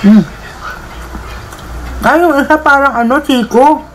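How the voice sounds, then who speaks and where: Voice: sits high, a little slow, a little hiss or hum, low-quality recording. A food vlogger chatting casually while tasting fruit, mixing English phrases into the talk. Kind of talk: casual eating vlog.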